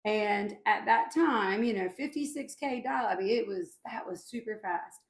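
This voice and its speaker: A woman's voice: mid-range voice, normal speed. A woman in her thirties joining her husband's livestream, chatting playfully and teasing. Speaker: co-host, host's wife. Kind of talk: casual chat. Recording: roomy off-mic sound.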